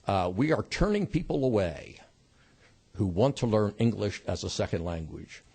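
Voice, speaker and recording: A man testifying as a witness before a committee, measured and polite. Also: fairly low pitch, big pitch swings, warm tone, husky.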